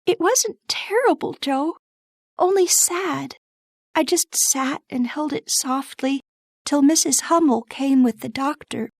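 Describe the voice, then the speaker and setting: A woman voicing a gentle, shy young girl who speaks softly and anxiously, in a storybook reading. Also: slow, creaky, no echo, studio quality, full character voice. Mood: anxious, sad. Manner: soft, anxious, higher-pitched.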